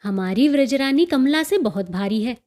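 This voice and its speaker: A woman's voice: high-pitched, expressive, normal speed, darkish tone. A woman voicing an assured, proud mother-in-law in a story, speaking in a firm, matter-of-fact manner. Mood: confident.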